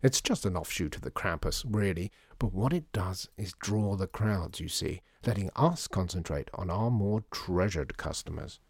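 A man voicing a gallant, smooth-talking shopkeeper in a storybook, courteous and coaxing. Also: deep voice, animated, moderate pace, hardly any pauses, no room sound, clean studio sound, full character voice. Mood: confiding. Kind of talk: storybook character voice.

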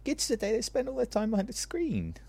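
Husky, creaky, despairing parent. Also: female voice, on the high side, wildly swooping pitch, a little fast, dark tone, full character voice. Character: weary, helpless parent of a troubled teenager.

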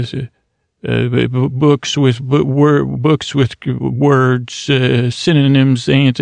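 Guy rambling on his sleep podcast, kinda gravelly, halting, drags words out slow, mid-pitched voice. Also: no echo. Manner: meandering, halting, drifting into slow drawn-out words. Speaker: podcast host. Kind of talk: rambling bedtime talk to put listeners to sleep.

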